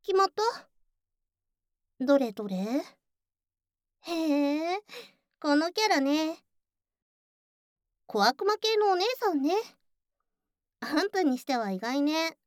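Tsundere childhood friend female actor: young, very high voice, curt, teasing, secretly soft, dry studio sound. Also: lively intonation, slow pace, long pauses, no room sound. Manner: brusque, teasing, flustered. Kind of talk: ASMR situation voice drama acting.

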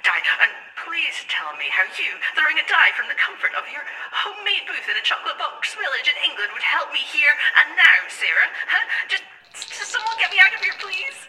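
A woman's voice: high-pitched, very animated, brisk, pushed and bright, pitched above the performer's own voice, exaggerated voice. Woman acting panicked lady adventurer, very piercing and swoopy, echoey and a bit far off, comedy sketch. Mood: panicked protest. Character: panicking, exasperated female adventurer stranded in the wild. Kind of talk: comic audio sketch.

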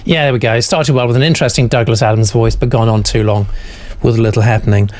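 A man's voice: medium pitch, steady tone, fast talker, darkish tone, no echo. A man hosting a panel discussion of a writing sample, chatty and affirming, reading out viewer comments. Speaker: host of a writing panel show.

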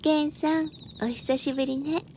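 Mysterious forest-dwelling lady, high voice, soft dark tone, composed, ladylike, radio drama. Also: steady tone, normal speed, slight background noise. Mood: serene, friendly. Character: poised, mysterious suited woman living in the forest.

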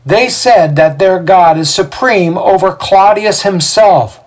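Man acting a loud, high-pitched scheming rabble-rouser. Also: takes it slowly. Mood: rousing alarm. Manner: declamatory, theatrical oratory. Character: male mob leader, scheming indignant rabble-rouser accusing prisoners.

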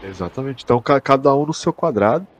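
A Brazilian man with a husky, rough-edged voice at a medium pitch co-hosts a casual podcast. He theorizes in loose slang, talking to his partner as to an old buddy. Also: animated, fast talker. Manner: slangy, addresses listener as buddy. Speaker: podcast co-host.

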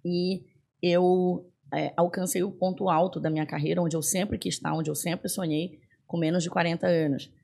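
Podcast guest: woman, 30s, from northern Brazil, gravelly, husky, chatty storyteller. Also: on the low side, normal speed. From Belém, northern Brazil. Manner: animated storyteller, frequent hesitation fillers. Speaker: guest, tech executive. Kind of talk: casual podcast conversation.

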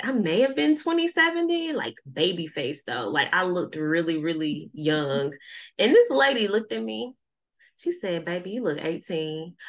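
A woman in her thirties chats casually and tells her stories with energy, slipping into acted-out quotes. Her voice sits on the lower side. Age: 30s. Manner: lively storyteller, acts out quoted voices. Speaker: life coach. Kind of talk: casual podcast chat.

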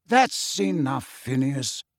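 Guy doing a kindly old wizard headmaster, slow n calm, grave, audiobook. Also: medium pitch, vocal fry, no echo, clean studio sound, exaggerated voice. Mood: weary. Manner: calm, quiet, measured. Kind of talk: audiobook character voice.

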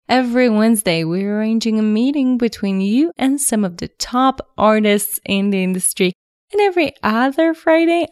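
A woman's voice: on the high side, a little mellow, clean tone, no echo, studio quality. A woman hosting a photography podcast, reading an upbeat intro and then interviewing a guest in an encouraging way. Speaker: podcast host.

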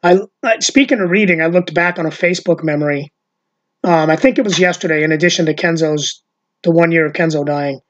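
A woman's voice: deep voice, a little fast. A woman hosting a casual podcast chat with her sister, rambling and peppering her talk with "like" and swearing. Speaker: podcast host. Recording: dull lo-fi audio.

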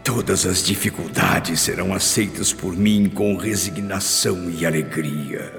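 A man's voice: deep voice, fairly even intonation, gravelly, fairly bright tone, exaggerated voice. An actor playing an anguished, repentant middle-aged father with a Brazilian accent, in a solemn, pleading radio drama monologue. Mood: pleading, remorseful. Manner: slow, solemn, pleading delivery. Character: anguished repentant father, guilt-ridden former brute. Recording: long reverb with music behind. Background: music.

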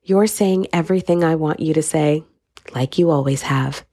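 A woman's voice: low voice, moderate pace, dark tone, breathy edge, no room sound. A woman reading an audiobook, voicing a wealthy, middle-aged mother who speaks in a cool, steely, dry way. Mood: stern.

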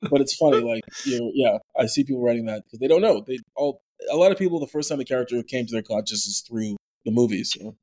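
A man, an actor, talking in a casual interview, animated and full of restarts, with a somewhat nasal voice. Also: medium pitch, quick pace, hardly any pauses. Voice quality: nasal natural voice. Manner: animated storyteller, many fillers and restarts. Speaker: actor and voice actor, podcast guest.

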